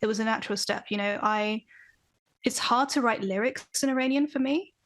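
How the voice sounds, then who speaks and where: Young woman guest, slightly high voice, animated and thoughtful, repeats herself as she thinks, webcam audio from a home room. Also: fairly quick. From Britain. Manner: thoughtful, animated, many restarts. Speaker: podcast guest, band vocalist. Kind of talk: casual interview chat. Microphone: video call, webcam.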